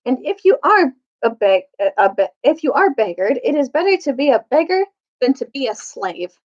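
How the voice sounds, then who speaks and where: A woman's voice: sits high, big pitch swings, normal speed, highs cut off. A woman voicing a righteous young king in a children's storybook, formal and commanding. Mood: firm. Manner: formal, declaring. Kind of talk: children's storybook read-aloud.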